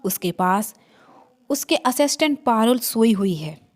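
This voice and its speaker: A woman's voice: fairly high pitch, lively intonation, a little slow. A woman reading a scripted horror story as its narrator.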